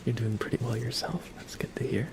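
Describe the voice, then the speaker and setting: A young man hosting a relaxed ASMR livestream, mostly whispering and speaking softly in a reassuring, encouraging way. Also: medium pitch, fairly quick. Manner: soft-spoken, mostly whispering. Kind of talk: relaxed ASMR, chatting with viewers and reading tarot cards.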